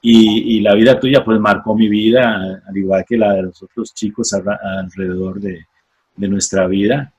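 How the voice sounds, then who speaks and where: Husky Costa Rican man, unhurried testimony. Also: fairly low pitch, fairly even intonation, a little mellow. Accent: Costa Rican Spanish. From Costa Rica. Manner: unhurried, reflective, many fillers and restarts. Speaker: guest, former missionary. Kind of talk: casual radio interview, testimony.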